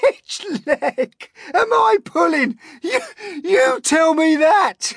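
A man voicing a boastful, rascally centipede in a storybook, excitable and bragging in a shrill shout. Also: measured pace, no echo, clean studio sound, exaggerated voice. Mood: hysterical glee.

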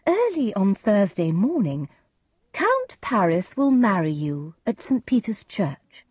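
A woman's voice: mid-range voice, very animated, takes it slowly, a little mellow, breathy edge, no room sound, studio quality, voice pushed up, full character voice. A woman voicing a composed noblewoman and mother in a storybook, with a firm manner. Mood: persuasive.

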